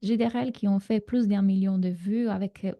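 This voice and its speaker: A woman's voice: medium pitch, steady tone, a little fast, muffled recording. A woman in her thirties from Italy with an Italian accent, giving a live online coaching masterclass in a reassuring way with frequent fillers, on a computer mic. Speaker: relationship coach.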